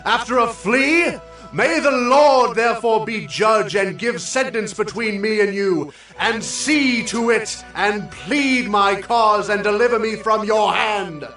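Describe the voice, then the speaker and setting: A man acting an earnest, reverent young Israelite warrior in a dramatized Bible story, declaiming with drawn-out emphatic words. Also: sits high, sharp tone, roomy sound, far from the mic, soft background music, exaggerated voice. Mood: impassioned pleading.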